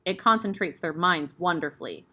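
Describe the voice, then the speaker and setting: A woman's voice: fairly low pitch, normal speed, pushed and bright. A woman voicing a huge, bullying headmistress who barks and snaps with contempt. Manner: barking, snapping, contemptuous.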